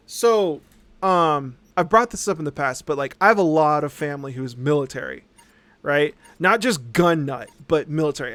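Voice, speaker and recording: Male livestream host, higher-pitched, dry close sound; casual, sarcastic, sweary and animated. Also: no echo. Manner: acts out mocking quotes. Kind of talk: casual rambling livestream chat.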